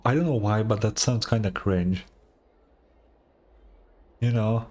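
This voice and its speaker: A man's voice: fairly low pitch, takes it slowly, darkish tone. A man giving casual game commentary as a streamer, musing in a laid-back, hesitant way.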